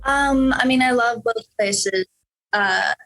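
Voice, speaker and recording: A woman's voice: a little high, takes it slowly, a bit bright, low-quality recording. Hesitant teenage girl from Norway. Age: teens. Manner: hesitant, many fillers and restarts. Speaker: interview guest, young musician. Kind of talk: casual interview answers.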